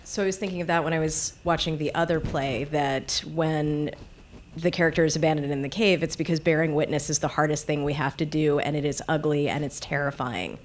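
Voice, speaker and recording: A woman giving an articulate, deliberate analytical comment from the audience at a public discussion. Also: low-pitched, fairly even intonation, a little hiss or hum.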